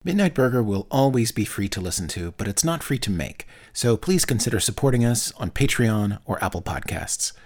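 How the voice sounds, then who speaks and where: A man's voice: mid-range voice, expressive, normal speed, few pauses, a little mellow. A man reading a scripted podcast support appeal as an announcer.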